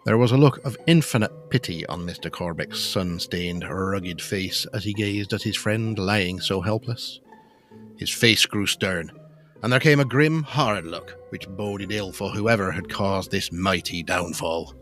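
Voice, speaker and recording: A man's voice: low-pitched, a little slow, fairly bright tone, soft background music. A man reading scripted dramatic narration for a gothic audio story.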